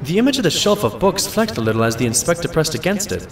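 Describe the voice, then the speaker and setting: An actor playing a modest, loyal pony doctor who narrates and talks in an audio drama in an earnest, expressive way. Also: male voice, a little high, fast talker, soft background music. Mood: thoughtful, deducing.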